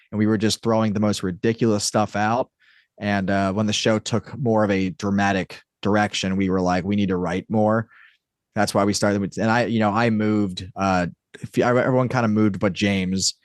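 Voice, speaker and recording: A man's voice: fairly low pitch, dry recording, studio quality. A man speaking casually as an interview guest, explaining in a rambling way peppered with "like" and "you know". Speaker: podcast guest, audio drama creator and writer.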